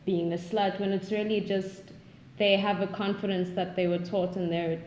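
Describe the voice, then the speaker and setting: A woman's voice: mid-range voice, level tone, normal speed, darkish tone, slight background noise. Reflective woman, echoey room. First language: not English. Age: 20s. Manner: earnest, reflective, searches for words. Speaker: podcast guest. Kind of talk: casual conversation. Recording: roomy echo.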